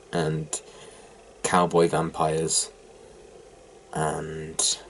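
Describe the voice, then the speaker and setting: This is a young English man with a blocked, nasal voice and a scratchy throat, speaking in a low register. He chats casually and a little flatly, trailing into ums. A faint hiss sits under the recording. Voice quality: hoarse from a sore throat. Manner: rambling, lots of ums, mildly flat delivery. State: nasal, blocked-up head cold. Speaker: reaction video host. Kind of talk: casual chat to camera.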